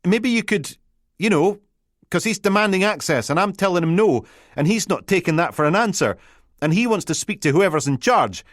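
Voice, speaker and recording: A man's voice: high voice, expressive, normal speed, no room sound, clean studio sound, pitched above the performer's own voice. A man voicing an eager young female constable who rambles breathlessly. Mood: flustered.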